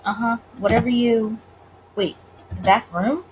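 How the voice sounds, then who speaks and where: A woman's voice: a little high, steady tone, measured pace, noisy background, lightly acted. A woman voicing a flustered, indignant male detective in a visual novel, protesting uneasily.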